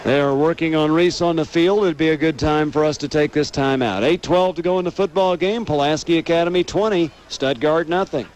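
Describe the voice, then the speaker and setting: A man's voice: on the high side, a little fast, few pauses, darkish tone. An American man doing live radio play-by-play commentary of a football game.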